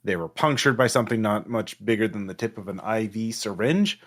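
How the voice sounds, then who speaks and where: A man speaking as game master, improvising narration for a tabletop game in a halting, conversational way. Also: on the low side, expressive.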